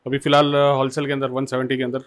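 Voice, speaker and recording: Quick, terse male business owner. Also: mid-range voice, monotone. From Gujarat, India. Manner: brief, matter-of-fact answers. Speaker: customer, small plant owner. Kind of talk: interview answers.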